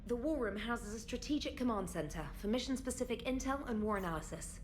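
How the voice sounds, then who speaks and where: An actress playing an efficient, eager military crew member in a video game, giving information in a friendly, orderly way. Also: female voice, a little high, lively intonation, quick pace, a little hiss or hum, close to an everyday voice. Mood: helpful. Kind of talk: video game cutscene acting.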